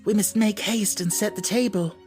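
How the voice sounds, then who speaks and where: A woman voicing an imaginative, kind young girl with an excited, earnest manner in an audiobook. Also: medium pitch, normal speed, soft background music, pitched above the performer's own voice, natural voice. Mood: gently cheering. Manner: excited, earnest, make-believe playfulness. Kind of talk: audiobook character acting.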